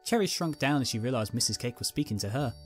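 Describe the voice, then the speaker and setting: A woman's voice: extremely low pitch, animated, quick pace, soft background music. A woman narrating a scripted fan fiction story as its reader.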